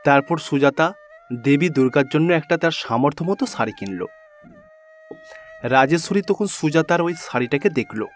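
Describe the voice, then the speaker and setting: Casting: male storyteller, higher-pitched voice, scripted cartoon folktale narration over music. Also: expressive, normal speed, long pauses.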